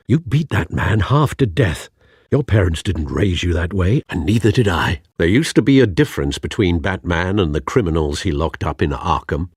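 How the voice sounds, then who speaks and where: A loyal, worried older butler in a video game. His voice is low and husky with expressive rises and falls, formal and earnest in delivery. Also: no room sound, clean studio sound, heavily acted. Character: fatherly older male butler, loyal, worried, gently reproving.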